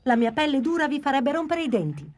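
A woman's voice: high-pitched, sing-song, quick pace, a little mellow. A woman voicing a young, eager and adventurous boy dinosaur in a dubbed cartoon. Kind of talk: Italian cartoon dub.

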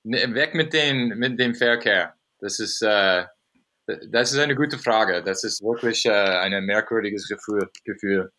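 An American man with English as his first language, a podcast guest on a video call, chatting casually in halting, searching German full of fillers. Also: fairly low pitch, sharp tone. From USA. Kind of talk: casual chat. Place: home room on a video call.

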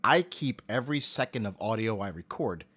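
Expressive man explaining in an interview. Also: on the low side, moderate pace, slightly rough. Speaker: voice actor being interviewed.

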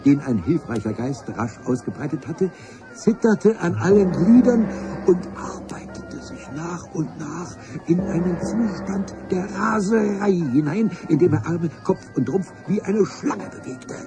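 Slow, wry storyteller over music. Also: male voice, sits high, animated. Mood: dramatic storytelling. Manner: ornate, deliberate storytelling. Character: literate, wry male narrator of an adventure tale. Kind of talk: scripted radio play narration.

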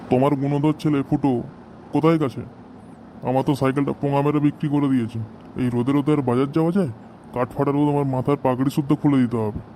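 A man's voice: mid-range voice, fairly even intonation, fast talker, dark tone, a little hiss or hum, close to an everyday voice. A man voicing a hot-tempered, foul-mouthed village father in an amateur comedy cartoon, grumbling and scolding in a West Bengal accent. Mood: impatient. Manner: grumbling, scolding, heavy cursing.

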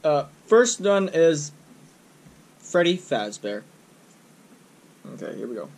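A young man chatting casually to camera at home, with a halting, self-critical mutter and a slightly husky voice. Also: a little high, big pitch swings, slight background noise. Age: young adult. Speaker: amateur video creator doing voice impressions. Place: small home room, indoors.

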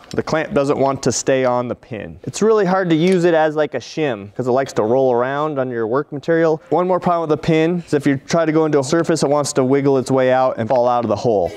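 A plainspoken man walks through a hands-on product demo of his own shop tools. His voice sits at a middle pitch, and he talks with a direct, eager confidence. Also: darkish tone. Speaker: tool maker demonstrating his products. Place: metal fabrication shop at a fixture table.

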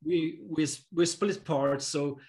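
Relaxed man on a video call. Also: fairly high pitch, slow pace. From Austria. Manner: relaxed, simple phrasing, non-native English. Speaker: musician guest. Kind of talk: casual interview chat. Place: home room with pictures on the wall.

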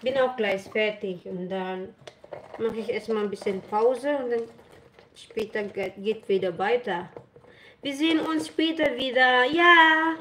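A woman's voice: a little high, a little slow, bright, forceful tone, slight background noise. A woman of thirty-eight, Filipino by birth, chatting casually with livestream viewers in simple German, mixing in some English while she works in her home kitchen. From Philippines. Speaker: vlogger. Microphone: camera on the counter. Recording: echoey kitchen with running water. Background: water and kitchen clatter.

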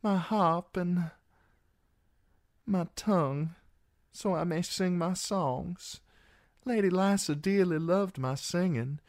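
Cracked, whispery, high-pitched broken singer. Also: male voice, a little mellow. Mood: meek, halting. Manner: halting, mournful pleading. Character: broken, blinded young male singer, pleading pitifully.

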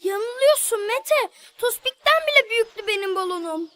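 Bright, very high-pitched little cartoon girl. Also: expressive, normal speed, no room sound, full character voice. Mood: wistful. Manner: simple childlike exclamations, sweet and earnest. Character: cheerful little girl, playful and kind. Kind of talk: children's cartoon dialogue.

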